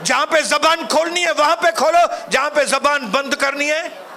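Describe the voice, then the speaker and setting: A male pastor preaching a sermon, calling out to the congregation and later praying softly. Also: slight background noise. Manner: preaching, rising to shouted calls to the congregation; soft, low, slow in prayer. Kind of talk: sermon and closing prayer.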